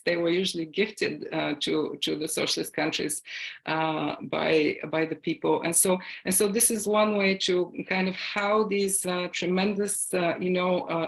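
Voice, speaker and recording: A woman from Bulgaria answering an audience question on a video call, speaking haltingly with many fillers and restarts. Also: fairly low pitch, low-quality recording. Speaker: guest speaker.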